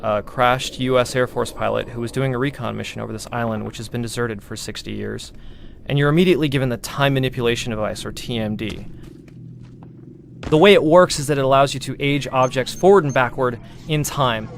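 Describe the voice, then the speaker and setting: A man's voice: medium pitch, a little fast, vocal fry, a little hiss or hum. A man, a game producer, giving an explanatory gameplay walkthrough commentary. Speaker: game producer presenting a demo.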